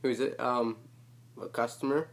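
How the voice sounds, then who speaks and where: A man's voice: medium pitch, fairly even intonation, unhurried. A man talking casually and crudely, full of profanity, as he hosts his own prank call video. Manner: crude, joking, rambling. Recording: noisy homemade call recording.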